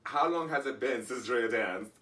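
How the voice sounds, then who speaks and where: A man co-hosting a casual podcast chat, talking in animated bursts with many restarts. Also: medium pitch, moderate pace. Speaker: podcast co-host. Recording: distant mic, faint background noise.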